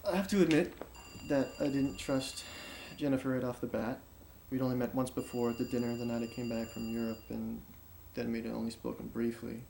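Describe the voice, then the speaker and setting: Actor playing a hesitant, creaky-voiced son. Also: male voice, mid-range voice, flat delivery, a little mellow, slight background noise, close to an everyday voice, low-quality recording. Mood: guarded. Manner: hesitant, halting. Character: wary but softening son recounting events. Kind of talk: naturalistic film dialogue, interview-like.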